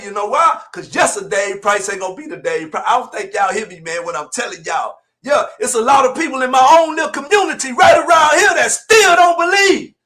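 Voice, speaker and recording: A man, preaching motivation in a colloquial, emphatic and repetitive way, moving between low asides and shouted exhortation. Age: 40s. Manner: colloquial, direct address to listeners. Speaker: motivational speaker. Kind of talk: fiery motivational preaching on a livestream.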